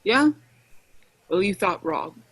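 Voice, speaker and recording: A woman playing a distraught, lonely young prisoner in an audio play, halting and shaky, flaring into anger. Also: medium pitch, sing-song, breathy edge, no echo, full character voice, rough recording. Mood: angry, defiant. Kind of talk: audio play acting over online stage reading.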